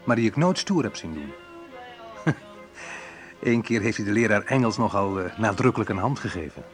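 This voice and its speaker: An actor playing a reflective man in a naturalistic radio drama, talking in a conversational, hesitant, wry way with a husky voice. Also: on the low side, lively intonation, normal speed, faint music behind, close to an everyday voice. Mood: wry, nostalgic. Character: Menno, reflective man recalling a school friend. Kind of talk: naturalistic radio drama monologue and phone talk.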